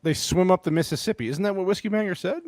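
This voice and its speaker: A man's voice: sits high, fast, no echo. A man co-hosting a podcast in casual, joking chat, rambling and swearing freely as he talks. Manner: animated, rambling, profane. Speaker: podcast co-host.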